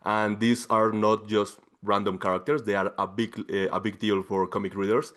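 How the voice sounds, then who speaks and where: A man's voice: on the low side, flat delivery, moderate pace, a bit bright. A man from Colombia, interviewing actors in English with eager, effusive questions. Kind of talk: press junket interview.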